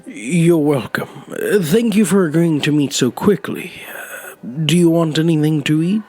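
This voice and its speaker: An actor playing a lonely elderly man who was once homeless, with a hesitant, gentle, wry way of talking in an audio drama. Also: fairly high pitch, measured pace. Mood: polite, welcoming. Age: older. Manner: hesitant, reflective. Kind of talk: naturalistic audio drama dialogue.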